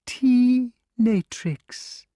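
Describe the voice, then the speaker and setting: A man's voice: very high voice, extremely slow, no echo, studio quality, voice pushed up, heavily acted. A man doing a fluttery, frightened grass snake. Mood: prim. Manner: fluttery, excited, prim. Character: timid fussy grass snake, male, easily frightened.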